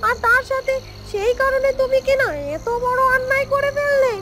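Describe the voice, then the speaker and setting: A woman's voice: extremely high pitch, fairly even intonation, moderate pace, loud music behind the voice. A woman playing a stern, vengeful young woman in a cartoon story, confronting someone with emphatic accusations. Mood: accusing, vengeful. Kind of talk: Bengali cartoon story dialogue.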